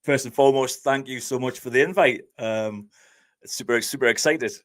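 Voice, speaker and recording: A man's voice: medium pitch, normal speed. A man with a Geordie accent from northeast England, talking earnestly as a guest with many fillers and restarts. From Scotland. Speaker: podcast host guest. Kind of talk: casual interview talk.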